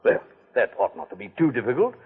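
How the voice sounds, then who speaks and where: An actor playing a fat, scheming older gentleman villain, pompous and unctuous, in a 1940s radio drama on a tinny old broadcast. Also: medium pitch, very animated, fast talker, slightly rough.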